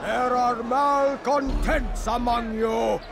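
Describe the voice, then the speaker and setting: Power-hungry fanatic villain, high-pitched, slow-paced declamation, theatrical, video game, score behind. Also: male voice, fairly even intonation, gravelly, over music, heavily acted. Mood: fiery, commanding. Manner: declamatory, preaching, drawn-out emphatic phrasing. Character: cruel, power-drunk male zealot executioner rallying a crowd.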